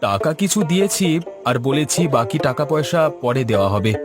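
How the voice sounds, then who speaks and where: Male actor as earnest village husband, cartoon, agreeable, mid-pitched, background music. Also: very animated, moderate pace, natural voice. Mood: hopeful. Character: earnest, hopeful male small eatery owner, a husband. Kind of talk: cartoon dub.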